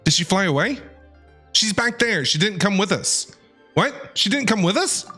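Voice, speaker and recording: Gameplay streamer: playful, slangy, excitable, forward bright tone, faint music underneath. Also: mid-range voice, normal speed, breathy edge. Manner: enthusiastic, playful, casual slangy talk. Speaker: game streamer. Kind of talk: excited gameplay reaction and commentary. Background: game music.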